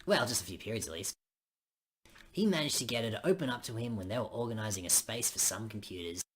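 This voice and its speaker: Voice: medium pitch, animated, quick pace, a little hiss or hum, close to an everyday voice. A woman voicing a chatty, blokey young friend in a visual novel, teasing and full of Australian slang. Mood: chatty, puzzled.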